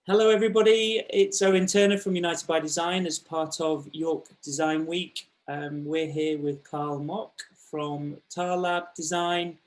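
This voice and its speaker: A man with a fairly high voice hosts and introduces a guest. He is friendly but halting, filling the pauses with ums. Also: steady tone. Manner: friendly, hesitant, with many ums. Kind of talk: casual spoken introduction.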